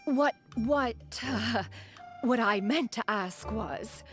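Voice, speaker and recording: A woman's voice: a little high, slow. A woman voicing an ancient female crane spirit in human form, speaking in an archaic, formal manner. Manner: archaic formal speech, refers to herself as one. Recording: game audio with soft music.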